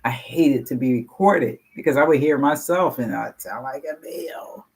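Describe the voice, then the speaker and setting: An older woman in her sixties speaks with a deep, low voice that has a husky grain. She rambles frankly through a personal share at a support meeting and swears casually as she goes. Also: moderate pace, a little mellow. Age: 60s. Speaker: meeting participant sharing.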